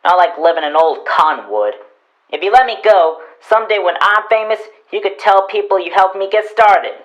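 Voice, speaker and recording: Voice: mid-range voice, lively intonation, close to an everyday voice. Runaway frontier kid, acted: cocky, eager, folksy American speech, western radio play, slightly muffled sound. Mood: boastful. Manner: folksy, drawn-out, boastful. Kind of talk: western radio drama acting.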